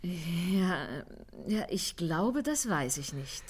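A woman's voice: lowish voice, big pitch swings, drawn-out words, husky, full character voice. Fluttery, wheedling landlady. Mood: coy, wheedling. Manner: fluttery, feigning innocence. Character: flustered, greedy landlady, coy and wheedling. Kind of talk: 1950s German radio play acting.

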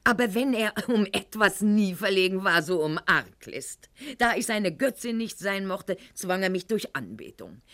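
A woman's voice: medium pitch, a bit bright. An actress playing a proud, articulate eighteenth-century court lady in a radio play monologue, with formal, mannered diction and an indignant, self-justifying air. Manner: eloquent, mannered, formal diction with dramatic pauses and sudden outbursts.

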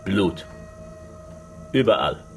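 A male investigator reads aloud with a very animated pitch. His delivery is deliberate and pointed, as in a radio crime drama. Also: gravelly, soft background music, full character voice. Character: investigator reading a suspect's diary aloud. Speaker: actor in radio play.

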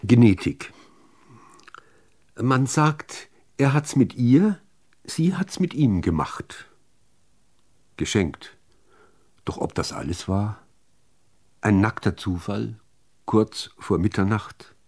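Slow, low-voiced man reciting poetry. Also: expressive, a little mellow. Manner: measured, deliberate phrasing with dramatic pauses. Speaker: reader of poetry.

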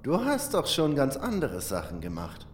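A man's voice: mid-range voice, huge pitch swings, normal speed, roomy sound, slight background noise, pitched above the performer's own voice. A man voicing a teasing, coaxing male adventurer in a fantasy audio drama.

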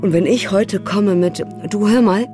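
A woman's voice: mid-range voice, measured pace, over music, lightly acted. A woman playing a frank, resigned wife in a radio documentary play, speaking plainly and conversationally. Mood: resigned, frustrated. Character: frank, resigned wife adjusting to her changed husband.

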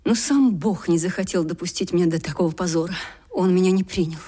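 An actress playing a proud, long-suffering young gentlewoman in a radio drama, solemn and impassioned, with breathy delivery and dramatic pauses. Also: lowish voice. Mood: bitter. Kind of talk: Russian radio drama acting, theatrical.

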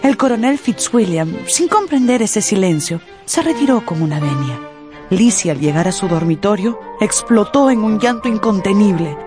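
A woman's voice: medium pitch, lively intonation, normal speed, music in the background. A woman narrator reading scripted storytelling for a radio drama.

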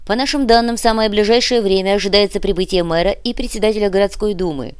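A woman's voice: medium pitch, fairly even intonation, fast talker, smooth, no room sound, voice pushed up, close to an everyday voice. A woman voicing an urgent radio news reporter in an audiobook, with an emphatic, formal bulletin delivery.

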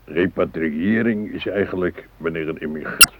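A man playing a grumbling, pedantic old grandfather in a radio play, patiently explaining and gruffly correcting. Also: sits low, normal speed, natural voice. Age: older. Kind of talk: radio drama dialogue. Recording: muffled old radio recording, faint noise.

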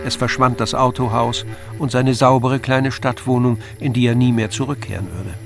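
An actor playing a composed literary storyteller, giving measured scripted narration in an audio drama. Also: male voice, mid-range voice, steady tone, gravelly, faint music behind, natural voice. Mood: calm, sombre.

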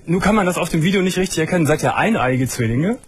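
A man's voice: fairly high pitch, lively intonation, quick pace, slightly rough. A man hosting a late-night radio call-in show, asking the caller teasing, probing questions. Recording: radio broadcast.

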